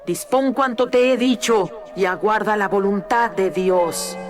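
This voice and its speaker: The ghost of a young daughter for a horror radio play. The voice is processed high, sweet but solemn, imploring and commanding in formal speech. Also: male voice, unhurried, over music. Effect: pitch-shifted processing. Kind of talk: horror radio-drama acting.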